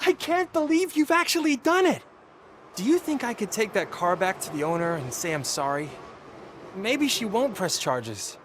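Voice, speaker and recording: An anxious teenage petty thief for a video game. His voice is high and very lively in pitch. He sounds streetwise yet earnest and full of regret. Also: moderate pace, a little hiss or hum. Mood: pleading. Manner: pleading, earnest, streetwise slang. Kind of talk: video game cutscene acting.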